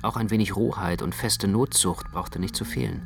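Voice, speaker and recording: A man's voice: low-pitched, moderate pace, gravelly, over music. A man narrating a radio play in the first person as an anxious, brooding literary storyteller.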